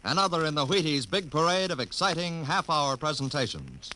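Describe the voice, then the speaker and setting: Bright, forceful old-time radio announcer. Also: male voice, big pitch swings, low-quality recording. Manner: booming, drawn-out showman delivery. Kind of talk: 1950s radio program announcing. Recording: old radio broadcast with background noise.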